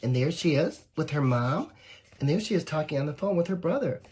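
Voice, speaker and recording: A woman reading a picture book aloud to young children, expressive and encouraging, with asides to the listeners. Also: deep voice, a little fast, a little hiss or hum. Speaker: volunteer story reader.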